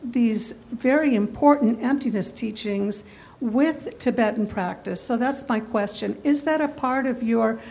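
A woman's voice: on the high side, a little slow, gravelly, a little mellow. A woman from the audience, a Zen practitioner, asking a question in an earnest, self-deprecating way. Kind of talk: question from the floor.

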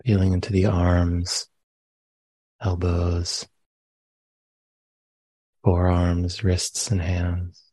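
A man leading a guided meditation as a teacher, speaking gently and unhurriedly with long pauses between phrases. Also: low-pitched, monotone. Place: meditation center.

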